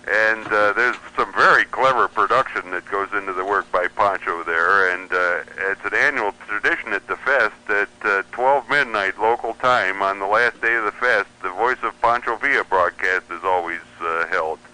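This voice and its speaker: Male radio guest, wry rambler with a low, very bright, sharp-edged voice. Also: moderate pace, hardly any pauses, low-quality recording. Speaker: interview guest. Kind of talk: casual anecdotal interview talk.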